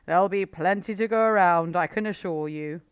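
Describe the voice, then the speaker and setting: A woman voicing a sly, confident male fox who talks smoothly and persuasively in a storybook. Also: on the low side, normal speed, no echo, close to an everyday voice.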